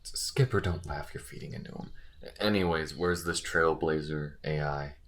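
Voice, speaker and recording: A man playing a gruff alien soldier who gets easily flustered and halting, in a scripted audio roleplay. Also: rumbling low, a bit bright. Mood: dry, grumbling. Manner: halting, stammering when flustered.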